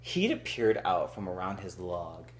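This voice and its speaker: Laughing man reading aloud, lively. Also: deep voice, measured pace, reverberant, slight background noise. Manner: voices characters with loud shouted lines, laughs often. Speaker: reader of a novel aloud. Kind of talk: comedic group read-through with crude asides.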